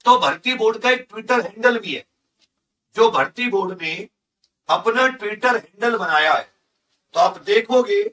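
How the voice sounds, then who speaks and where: A man's voice: high voice, bright, forceful tone, recorded from a distance. A man, teaching emphatically and repeating key figures and points for his students. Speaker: online coaching teacher. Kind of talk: instructional lesson explaining job vacancy notices. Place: indoor teaching studio with large digital board.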